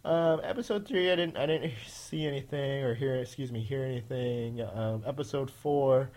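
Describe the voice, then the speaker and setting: A man's voice: mid-range voice, moderate pace, darkish tone. A man hosting a casual podcast, with a nasal voice, rambling with many fillers and restarts. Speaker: podcast host.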